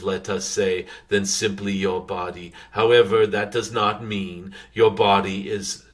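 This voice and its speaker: A man channeling a wise, gentle dragon spirit, giving spiritual guidance in a halting, formal way with frequent humming pauses. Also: fairly low pitch. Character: wise, gentle dragon spirit addressing followers as dear ones.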